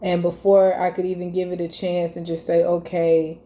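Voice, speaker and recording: A woman's voice: fairly low pitch, level tone, moderate pace, mellow. A mother in a casual home chat with her daughter, teasing and laughing often, with loose everyday speech.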